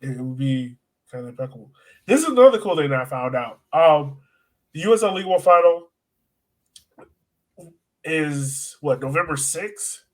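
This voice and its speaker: Male podcast host, fairly high register, loose conversational delivery, question-driven casual sports talk. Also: expressive, moderate pace, long pauses, poor audio.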